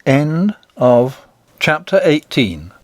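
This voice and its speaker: A man reading a children's storybook as an audiobook narrator, in a measured, expressive literary manner. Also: on the high side, no echo, clean studio sound.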